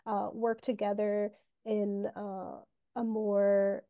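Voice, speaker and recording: A woman's voice: medium pitch, little pitch movement, slow, dry recording, narrow, tinny audio. A woman in her twenties moderating a festival Q&A over video call, enthusiastic and encouraging toward her guests. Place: room with old monitors, indoors.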